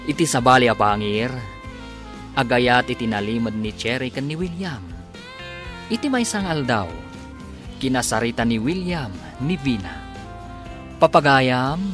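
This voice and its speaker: A man's voice: mid-range voice, animated, normal speed, long pauses, a bit bright. A man with an Ilocano accent announcing and narrating a radio serial in a dramatic, emphatic way. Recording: music bed under the voice.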